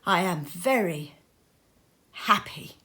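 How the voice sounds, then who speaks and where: A woman voicing a gentle, grieving Victorian father in a storybook reading, with an earnest, emotional and tender manner. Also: lowish voice, animated, slow pace, exaggerated voice. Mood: moved, tender.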